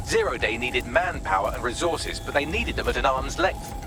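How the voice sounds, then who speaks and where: A man's voice: mid-range voice, animated, moderate pace, lightly acted. A man playing a witty, snarky AI assistant in a video game, articulate and sardonic. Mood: wry, analytical.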